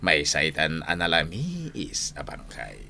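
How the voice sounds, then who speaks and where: An actor playing an authoritative older general and mentor in a radio drama, speaking slowly and commandingly with an Ilocano accent. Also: male voice, extremely low pitch, very animated, vocal fry, bright, forceful tone, a little hiss or hum, heavily acted. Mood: stern, foreboding.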